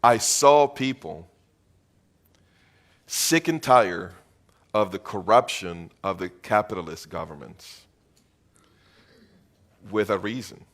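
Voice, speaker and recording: Slow, low-voiced preaching man. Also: expressive, a bit bright. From Venezuela. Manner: earnest, emphatic preaching with repeated phrases. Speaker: pastor. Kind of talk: sermon.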